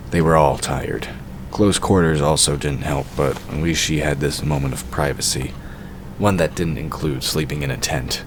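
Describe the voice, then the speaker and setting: A man's voice: rumbling low, background noise. A man narrating a fantasy audio drama from a script.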